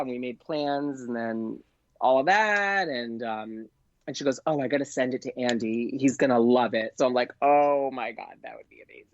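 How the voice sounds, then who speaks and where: A man telling a casual anecdote as a podcast guest, gushing and excitable, saying "like" often and acting out both sides of conversations. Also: medium pitch, dry recording, lo-fi sound. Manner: gushing, excitable, frequent "like", acts out dialogue. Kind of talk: casual anecdote, chatty storytelling.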